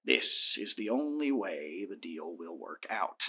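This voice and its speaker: A man playing a smug, menacing crime boss in a comic radio play, theatrical and gravelly, switching between oily courtesy and threats. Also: deep voice, very animated, measured pace, full character voice. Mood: pushy. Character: scheming, menacing crime boss posing as insurance businessman. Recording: muffled, narrow phone-like sound.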